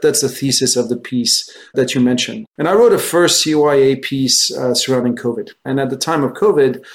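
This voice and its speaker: A middle-aged man from France, a native French speaker, giving discursive, anecdotal answers as an interview guest. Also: medium pitch. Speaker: guest, investment research executive.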